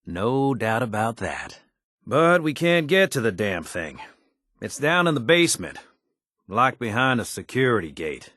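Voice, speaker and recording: A man's voice: mid-range voice, very animated, a little slow, sharp tone, no room sound, clean studio sound, exaggerated voice. An actor playing an easygoing, wry wasteland handyman in a video game, with a folksy, slangy way of talking. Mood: matter-of-fact. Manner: folksy, colloquial, drawling slang. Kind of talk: video game character dialogue.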